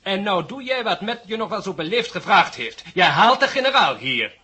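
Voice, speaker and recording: An actor playing a suave, loyal stage magician and hypnotist, coolly menacing and commanding, in a 1970 radio drama. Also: male voice, high-pitched, big pitch swings, sharp tone, husky, exaggerated voice. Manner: self-assured, deliberate, commanding.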